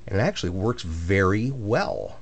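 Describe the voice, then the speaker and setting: A man's voice: on the low side, animated, normal speed, vocal fry. A man casually narrating a demonstration of his hobby project in a plainspoken, explanatory way. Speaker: hobbyist restorer of antique radios.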